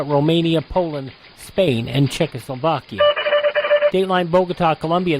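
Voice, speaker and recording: American male newsreader, slightly high voice, scripted radio host delivery, faint noise behind. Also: a little mellow, rough recording. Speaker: radio host reading historical news. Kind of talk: scripted newscast reading.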